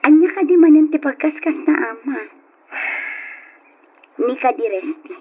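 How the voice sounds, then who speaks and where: A woman's voice: high voice, unhurried, a little mellow. A woman playing an affectionate young student, teasing and dutiful, in an old-style radio drama on a dull lo-fi recording. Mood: teasing, exasperated.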